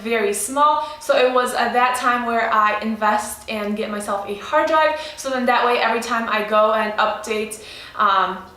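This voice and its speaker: A woman's voice: on the high side, moderate pace, pushed and bright, echoey room. A young woman with a Polish accent mixed with Chicago, chatting casually as a vegan lifestyle vlogger. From Poland. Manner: friendly, chatty, with fillers. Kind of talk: casual cooking vlog with voice-over.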